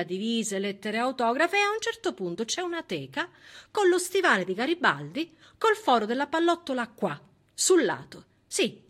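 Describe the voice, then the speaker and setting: A woman with a southern Italian accent performing a theatrical monologue in an expressive, dramatic way. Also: medium pitch. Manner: expressive, dramatic, shifting between hushed and emphatic delivery. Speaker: stage actress, solo performer. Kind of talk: theatrical monologue with dialect and song.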